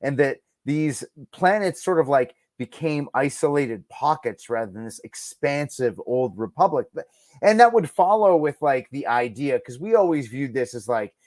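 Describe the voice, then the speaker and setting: Man, mid-pitched voice, podcast guest, casual and emphatic, musing fan talk, dry recording. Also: expressive, normal speed, darkish tone, dry recording. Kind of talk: casual conversational opinion.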